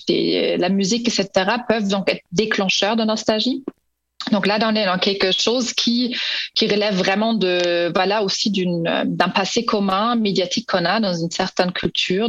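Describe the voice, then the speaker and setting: A woman's voice: medium pitch, dry recording, lo-fi sound. A woman researcher who is not a native speaker, answering interview questions in a hesitant way, with many fillers and restarts. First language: not French. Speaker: researcher guest.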